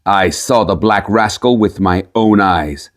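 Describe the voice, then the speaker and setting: A man voicing a curious, gullible miller in a storybook, with emphatic, drawn-out delivery. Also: fairly low pitch, natural voice. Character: curious, gullible, easily amazed male miller.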